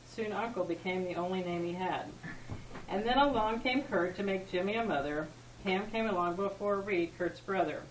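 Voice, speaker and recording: Woman reading verse in an echoey room. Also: medium pitch. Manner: informal amateur reading with stumbles. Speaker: family member reading a tribute poem. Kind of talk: reading a rhyming poem aloud at a party. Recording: echoey room, distant mic, background noise.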